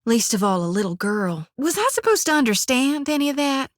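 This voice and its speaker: A woman's voice: high-pitched, very animated, a little fast, no echo, studio quality. An actress voicing a friendly, folksy young woman priest in a video game, with a drawl and a chatty, earnest manner. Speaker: game companion character.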